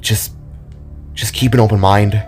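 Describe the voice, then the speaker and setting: A man performs a sorrowful metal warrior, the last survivor of his people, in an audio drama. His voice is low and his words come hesitantly, with stammers. Music plays faintly behind him. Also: steady tone, measured pace, close to an everyday voice. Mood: hesitant, apologetic.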